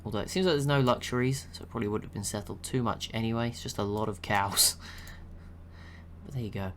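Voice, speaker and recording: A young man giving relaxed, chatty commentary over a strategy game he is watching, laughing to himself now and then. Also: fairly low pitch, quick pace, slight background noise. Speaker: gaming channel host.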